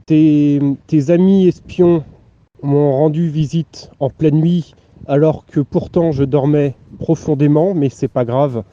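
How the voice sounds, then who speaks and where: A man playing a naive letter-writer who dictates slowly and earnestly, speaking the punctuation aloud. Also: fairly high pitch, fairly even intonation, mellow. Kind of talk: improvised comic letter. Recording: slightly muffled, faint music behind.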